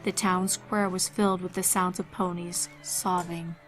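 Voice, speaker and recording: A woman's voice: fairly low pitch, level tone, measured pace, fairly bright tone, soft background music, poor audio. A woman narrating a scripted horror fan fiction story.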